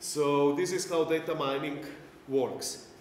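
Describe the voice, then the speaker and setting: A man's voice: on the high side, fairly even intonation, slow, a little mellow. A middle-aged man from Slovenia with a Slovenian accent, giving a technical lecture at a conference as a non-native speaker. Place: indoor conference breakout room. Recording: roomy echoey hall, clear background noise.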